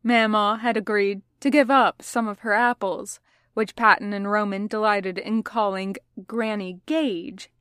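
A woman's voice: mid-range voice, fairly bright tone, no room sound, clean studio sound. A woman reading scripted fiction as an audiobook narrator. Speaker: podfic narrator.

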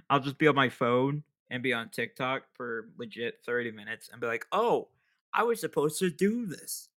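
Young male podcast host, casual rambling delivery, bright tone, self-deprecating. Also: medium pitch. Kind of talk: casual solo podcast chat.

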